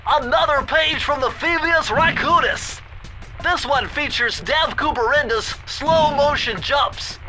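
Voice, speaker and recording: A brainy game sidekick with a very high, nasal-leaning voice, fussing over technical details in long, wordy sentences. Music plays under him. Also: male voice, rough recording. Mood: explaining. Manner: fussy, wordy, know-it-all. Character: nerdy brainy male turtle sidekick, fussy. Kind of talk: video game cutscene acting. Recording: game audio with music behind.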